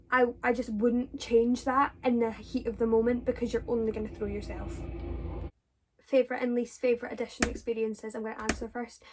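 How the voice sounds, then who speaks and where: A young woman with a Scottish accent, her voice sitting a little high. She talks casually through viewers' questions, rambling with many fillers and restarts. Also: a little mellow, a little hiss or hum. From Scotland. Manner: chatty, with frequent fillers and self-corrections. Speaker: drama student vlogger.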